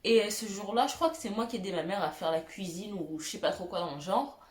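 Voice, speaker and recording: A young woman telling funny childhood stories to her viewers, at a middle pitch. She laughs at herself and often pauses on fillers. The sound is roomy and echoey. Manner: self-mocking, giggly, many fillers. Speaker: YouTuber. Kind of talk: storytelling vlog.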